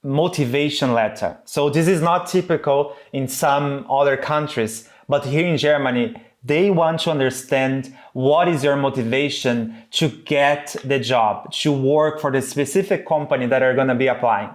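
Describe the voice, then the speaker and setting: A man's voice: medium pitch, moderate pace, hardly any pauses. A man from Brazil with a Brazilian accent and non-native English phrasing, a native Portuguese speaker, explaining things as a career coach. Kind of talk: explanatory video guide to camera.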